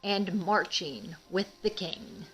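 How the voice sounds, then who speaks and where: A woman reads a picture book aloud for young children. Her voice is bright and slow-paced, with emphatic, expressive storytelling and simple wording. Soft music is behind her. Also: on the low side, low-quality recording. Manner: asks young listeners questions, explains simply. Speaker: children's read-aloud host.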